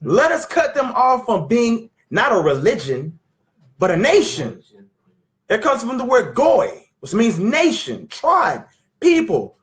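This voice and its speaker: A man, teaching scripture in an animated, questioning way at a family sit-down. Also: extremely high pitch. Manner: emphatic, questioning, drawn-out teaching. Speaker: Bible teacher. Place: living room at a family sit-down.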